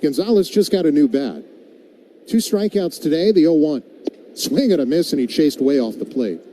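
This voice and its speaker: A male American play-by-play announcer with a very soft, dark timbre and a slightly high pitch. He calls baseball live in radio style and chats casually between pitches, with some outdoor ambient noise behind him. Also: expressive, normal speed, low-quality recording.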